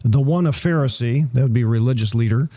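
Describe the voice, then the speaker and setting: Mellow-voiced man discussing the Bible. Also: mid-range voice, quick pace. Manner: reads scripture aloud in King James wording.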